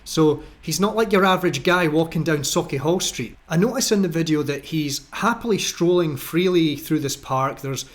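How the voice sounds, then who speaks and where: A man's voice: on the high side, normal speed, few pauses. A man with a Scottish accent delivering sarcastic, indignant political commentary as a channel host, in a mocking, ranting voice-over.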